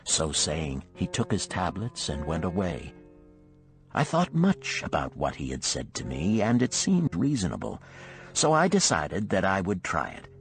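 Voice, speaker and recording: A man narrating a parable as a scripted audiobook, in a measured, expressive storytelling manner. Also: sits low, gravelly, faint music behind.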